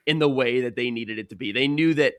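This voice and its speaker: A man's voice: mid-range voice, a little fast, clean tone, no echo, clean studio sound. A young man, a sports broadcaster, giving earnest, conversational answers as a guest in a casual interview. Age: young adult.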